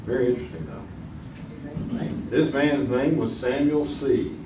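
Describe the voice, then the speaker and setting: A man's voice: mid-range voice, fairly even intonation, unhurried, lightly acted. A man playing a joking 1950s quiz show host with a folksy, teasing manner, on a theater stage. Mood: playful. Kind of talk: 1950s radio game show acting. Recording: echoey stage audio from far off, hiss.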